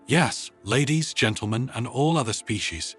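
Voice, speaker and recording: A man voices an upbeat, wry woman presenting in a narrated story. The delivery is showy, with lively pitch movement and slight huskiness over quiet music. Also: moderate pace, breathy edge. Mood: playful. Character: upbeat, wry woman showing her pet dog.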